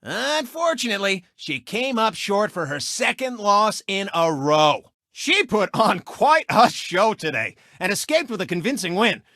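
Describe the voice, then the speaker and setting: An actor voicing a cocky, gloating race car turned sportscaster in a video game, with a boastful, mocking announcer delivery. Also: male voice, high-pitched, big pitch swings, measured pace, hardly any pauses, pushed and bright, dry recording, studio quality, full character voice. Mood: announcing.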